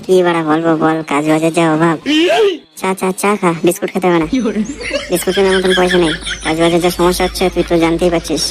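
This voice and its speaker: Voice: mid-range voice, level tone, quick pace, close to an everyday voice. A performer voicing a broke young labourer in a comedy cartoon, speaking colloquially and repeating short phrases. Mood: complaining, sheepish. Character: broke young labourer, foul-mouthed, easygoing, looking for work.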